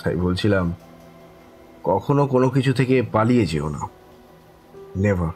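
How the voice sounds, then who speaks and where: A grave, weary elder-brother storyteller in an audio horror drama. His fairly low voice is measured and earnest, with a philosophical bent. Faint music runs underneath. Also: animated, darkish tone. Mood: grave, advising.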